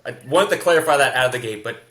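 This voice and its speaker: A man's voice: medium pitch, expressive, very fast, fairly bright tone, roomy sound. Kentucky-accented man hosting an interview. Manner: rambling, filler-heavy questions. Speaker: podcast host. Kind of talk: casual interview.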